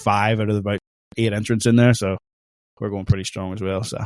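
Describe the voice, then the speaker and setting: Man w thick accent, chatty podcast cohost, teases a lot, laughs, talks quick. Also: lowish voice, little pitch movement, darkish tone, dry recording. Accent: thick non-Australian accent. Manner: chatty, teasing, laughs often. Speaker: podcast co-host. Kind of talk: casual podcast chat.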